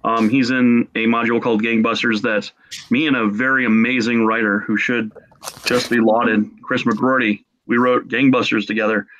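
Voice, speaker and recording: A middle-aged man telling casual stories as a podcast guest, in an excitable, jokey manner. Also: mid-range voice, normal speed, slight background noise. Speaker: podcast guest, game artist. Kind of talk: casual animated storytelling. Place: small room at home, video call. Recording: muffled lo-fi video call.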